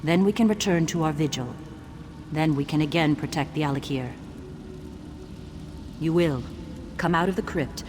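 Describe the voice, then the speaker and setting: Over loud game music comes a solemn ancient desert guardian spirit played by an actor, mid-pitched. The speech is formal and ceremonial, each phrase measured. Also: natural voice. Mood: solemn, commanding. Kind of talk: scripted game dialogue.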